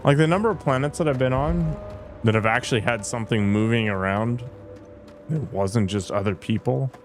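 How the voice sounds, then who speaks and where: A man's voice: medium pitch, moderate pace, soft background music. A man giving casual commentary on a game he is playing, with dry, sarcastic asides. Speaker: gamer streamer. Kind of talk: casual let's play commentary.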